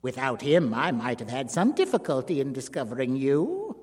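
A man reading a story aloud, voicing a cold, vengeful circus dwarf who speaks grimly and deliberately. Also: medium pitch, very animated, a little mellow, husky. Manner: grim, measured. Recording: long hall reverb.

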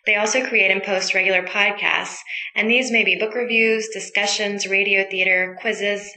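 A woman's voice: mid-range voice, fairly even intonation, normal speed, pushed and bright. A woman hosting a podcast, giving an informal rundown of the segments. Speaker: podcast host introducing segments. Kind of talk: informal scripted introduction. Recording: roomy, echoey sound.

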